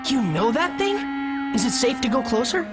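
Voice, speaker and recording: A woman's voice: fairly high pitch, very animated, normal speed. A woman voicing a cautious, curious young adventurer in a video game, sounding slightly unsure. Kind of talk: video game cutscene acting. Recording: loud music behind the voice.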